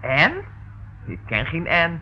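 An actress playing a defensive, sharp-tongued wife from a shady hotel in a radio play, colloquial, excitable and indignant. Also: female voice, low-pitched, slow, slightly rough, full character voice. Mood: scornful, evasive. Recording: muffled, noisy old radio recording.

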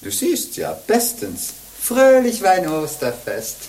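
An older actress playing a sly, jovial old bawd in a radio play, teasing and wheedling in a theatrical way. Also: female voice, fairly low pitch, wildly swooping pitch, a little slow, darkish tone. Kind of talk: German radio play acting.

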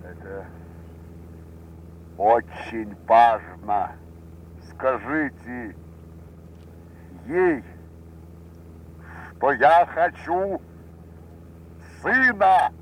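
An actor playing a weary, determined male bomber commander in an audio drama, speaking haltingly and earnestly over engine hum. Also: medium pitch, lively intonation, rough recording. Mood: strained, pleading. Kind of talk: Soviet audio drama acting. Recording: engine hum and noise behind.

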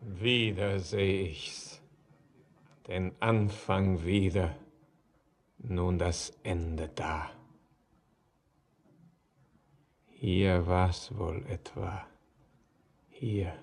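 An actor playing an ancient, weary, ghostly old miner in a poetic radio drama, gravelly and husky, speaking in a halting declamation. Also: male voice, deep voice, fairly even intonation, heavily acted. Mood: brooding, remote. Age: older.

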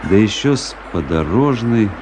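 Low-voiced, reflective officer, played by a man. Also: expressive, noisy background, close to an everyday voice. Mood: resigned, detached. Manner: measured, reflective storytelling. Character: wry, melancholy young male army officer recounting his adventure. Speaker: narrator of a radio play. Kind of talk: dramatic literary radio narration.

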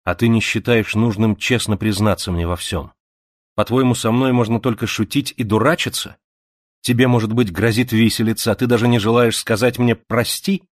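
A man's voice: lowish voice, normal speed, hardly any pauses, natural voice. A man reading aloud who voices a passionate, jealous young woman, pleading with rising, urgent cries. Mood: reproachful.

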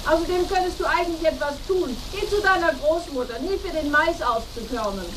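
A woman's voice: high voice, a little fast, close to an everyday voice. An actress playing a nagging, practical mother in a radio drama, scolding and handing out instructions. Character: nagging, practical mother calling to her son. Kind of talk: German radio drama acting. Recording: distant, hissy, rain-like noise.

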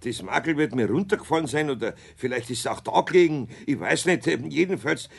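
An actor playing a flustered, good-natured older craftsman with a Bavarian accent, halting and grumbling, in a 1970s children's radio play. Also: mid-range voice, animated, creaky, husky. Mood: flustered, hurried.

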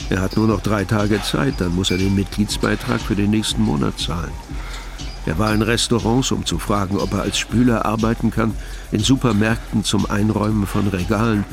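A male narrator reads a literary script. His voice sits fairly low with a gravelly creak, and music plays clearly behind him. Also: fairly even intonation, normal speed.

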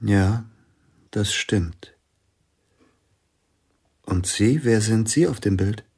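An actor playing a celebrated pianist, melancholy and weary, speaking softly and often in a whisper in a radio drama. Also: male voice, on the low side, drawn-out words, husky, heavily acted. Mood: curious. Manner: soft, mournful, often whispering.

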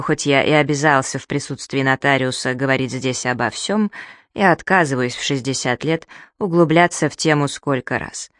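A woman's voice: deep voice, dry recording, studio quality. A woman narrating an audiobook in the first person, with a conversational, emphatic and ironic delivery.

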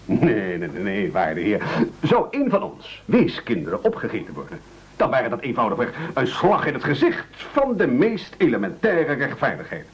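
An actor playing a pompous, self-pitying castaway chief who wheedles and orates in a theatrical radio play. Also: male voice, mid-range voice, huge pitch swings, moderate pace, breathy edge, lo-fi sound. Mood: pompous, indignant. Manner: bombastic, oratorical, self-pitying.

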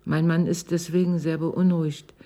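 An actress playing an anxious, apologetic, hesitant housewife in a German radio crime drama. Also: female voice, deep voice, flat delivery, moderate pace, dark tone, close to an everyday voice. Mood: worried, sorrowful.

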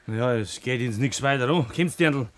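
An actor playing a brash young Bavarian farmhand out for carnival, in a 1960s radio play. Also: male voice, mid-range voice, moderate pace, a little hiss or hum, lightly acted.

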